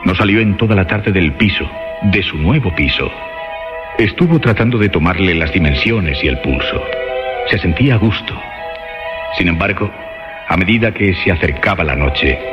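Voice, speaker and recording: A man narrating a suspense radio drama in a dramatic, deliberately phrased style. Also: deep voice. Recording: muffled radio sound, music bed behind.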